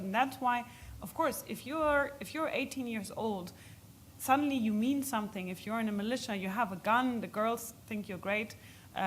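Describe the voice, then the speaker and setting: Woman expert speaking over background noise. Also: mid-range voice. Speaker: expert speaker answering audience questions. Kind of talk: unscripted talk and Q&A at a policy event.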